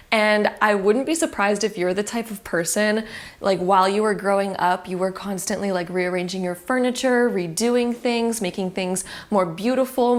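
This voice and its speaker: A woman giving a pick-a-card tarot reading to her viewers, soft and affirming, her voice a little cracked from having just woken up. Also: mid-range voice. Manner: soft, affirming and spiritual, full of fillers. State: voice cracking after just waking.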